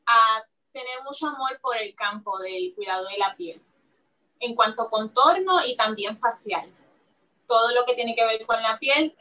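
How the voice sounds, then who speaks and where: A woman's voice: on the high side, bright, forceful tone, far from the mic, rough recording. A Puerto Rican woman, a guest aesthetician, answering radio interview questions with many fillers and restarts. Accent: Puerto Rican Spanish. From Puerto Rico.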